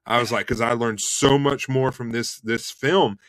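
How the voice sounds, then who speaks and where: A young man hosting a casual interview chat over a video call, relaxed and chatty, often repeating words and saying "man" and "dude". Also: mid-range voice, normal speed, no room sound. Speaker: host and Elvis tribute artist.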